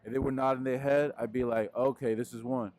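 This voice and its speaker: A man's voice: medium pitch, fairly even intonation, a little fast, darkish tone, rough recording. Laid-back young man with handheld mic. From Peru. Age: young adult. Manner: laid-back, rambling, frequent fillers. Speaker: musician guest. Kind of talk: casual interview answers. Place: indoors in front of a wooden wall.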